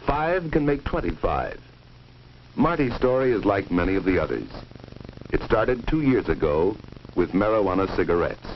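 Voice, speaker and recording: A man's voice: lowish voice, big pitch swings, normal speed, slight background noise. A man reading a grave, authoritative scripted narration in the style of a 1950s educational film. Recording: dull old 1950s film soundtrack, music.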